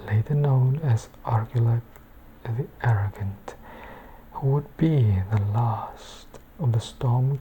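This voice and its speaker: A woman reading a book aloud in a hushed, soft-spoken ASMR bedtime style, often slipping toward a whisper. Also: rumbling low, slight background noise, low-quality recording. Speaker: book reader, ASMR channel host.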